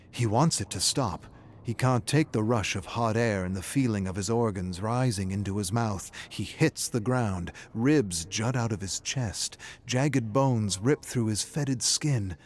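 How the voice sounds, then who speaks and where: A man's voice: lowish voice, unhurried, darkish tone. A man narrating a scripted horror story in a dramatic style, with noise behind him.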